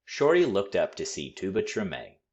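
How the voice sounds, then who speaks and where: A man reading a children's story aloud with expressive, deliberate, dramatic phrasing. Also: low voice, highs cut off. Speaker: storybook read-aloud reader.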